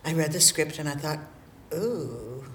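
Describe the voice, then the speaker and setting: A woman's voice: low voice, animated, a little slow, fairly bright tone, slight background noise. An older woman, a semi-retired theatre actor, speaking wryly in an interview. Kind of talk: interview soundbite.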